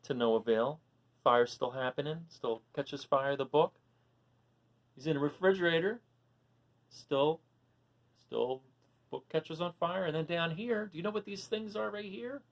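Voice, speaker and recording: A woman reading a picture book aloud for children, animated and often stopping to ask the listener questions. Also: deep voice, measured pace, long pauses. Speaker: storybook reader for children.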